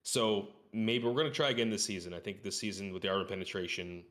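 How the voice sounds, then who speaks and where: A man's voice: on the low side, quick pace, fairly bright tone. A man talking casually as a guest on a gaming podcast, using informal gamer slang.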